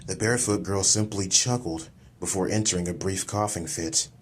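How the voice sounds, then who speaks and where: A man's voice: low voice, moderate pace, slight background noise. A man reading scripted, dramatic action-fantasy narration as an audiobook narrator.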